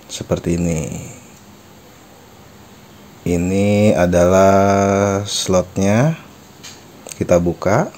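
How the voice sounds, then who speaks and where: A man's voice: low-pitched, drawn-out words, pauses often, a little mellow. A man narrating a step-by-step product tutorial in an explanatory, instructional manner. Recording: faint background noise.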